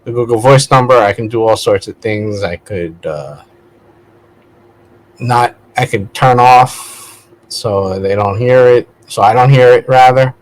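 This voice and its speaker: A man's voice: mid-range voice, a little slow, low-quality recording. A man talking casually as a vlog host, digressing and correcting himself as he goes in a conversational way. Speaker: video host, vlogger. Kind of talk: casual rambling spoken vlog.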